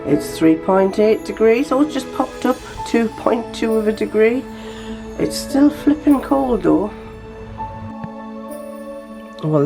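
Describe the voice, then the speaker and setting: An older woman, chatting casually in a vlog, her voice throaty from a lingering cough, rambling with fillers and restarts. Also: mid-range voice. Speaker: vlogger. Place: small home workshop shed.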